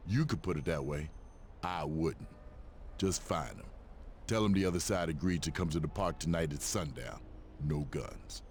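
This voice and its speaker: A man's voice: extremely low pitch, moderate pace, a little mellow, slight background noise. An actor voicing an earnest, streetwise male hero and neighborhood protector in a video game cutscene. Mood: firm, low.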